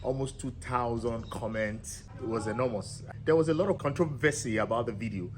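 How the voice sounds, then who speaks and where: A man's voice: mid-range voice, fairly quick, a little hiss or hum. A man, founder of a cultural channel, giving an impassioned monologue to camera in an emphatic, rousing way. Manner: emphatic and rousing, builds repetitive lists.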